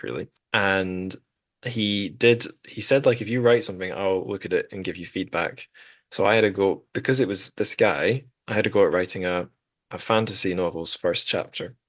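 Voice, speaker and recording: A man hosting a podcast, chatting casually about literature in a rambling, self-deprecating way. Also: low-pitched. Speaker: podcast host.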